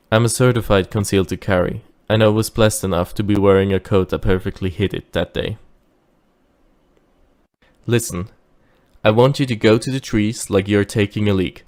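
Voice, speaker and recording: A man playing a calm, shady driver who narrates his own tale in an audio drama. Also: on the low side, lightly acted. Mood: hushed, decisive. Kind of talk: scripted first-person audio drama narration.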